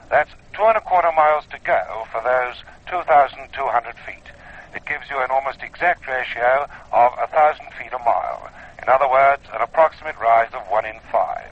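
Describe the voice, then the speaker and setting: A man giving measured, precise running commentary as an expedition reporter for radio. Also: medium pitch. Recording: dull old radio field recording.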